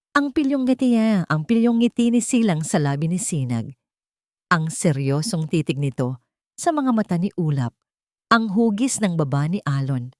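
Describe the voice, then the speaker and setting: A woman narrating a scripted audio drama in a solemn, suspenseful way, with deliberate dramatic phrasing. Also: sits low, a little mellow, dry recording, studio quality.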